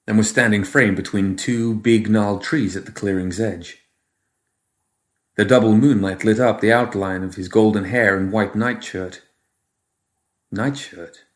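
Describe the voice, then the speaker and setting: A man's voice: lowish voice, roomy sound. A man reading fiction aloud as a scripted narrator. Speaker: story reader.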